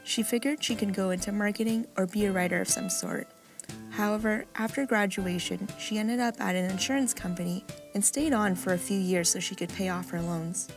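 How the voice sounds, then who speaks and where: A woman host reading scripted podcast narration in an even, measured way. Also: medium pitch. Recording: narration over faint music.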